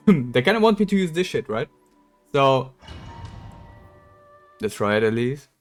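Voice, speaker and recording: A man's voice: medium pitch, big pitch swings, unhurried, soft background music. A man chatting casually as he streams a game, blunt and sweary in his rambling. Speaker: game livestreamer. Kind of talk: casual gaming stream chat.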